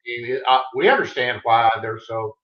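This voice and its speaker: A man's voice: mid-range voice, lively intonation, normal speed. A man with a Texas accent, an author being interviewed, talking in an easygoing, rambling way with restarts over a video call. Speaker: author guest. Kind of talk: casual interview chat. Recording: roomy, dull lo-fi sound.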